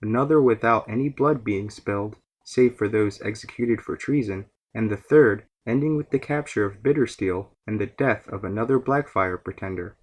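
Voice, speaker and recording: A man narrating a scripted fan lore series as its host, in a measured, explanatory way. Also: fairly low pitch.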